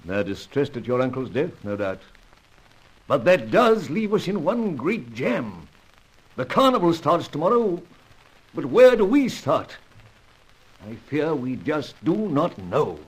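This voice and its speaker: An actor playing a blustery upper-class British spymaster in an old radio serial, pompous and formal, with a husky voice. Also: male voice, fairly high pitch, sing-song, a little slow, heavily acted, poor audio. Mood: brisk, worried. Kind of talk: old-time radio adventure serial acting.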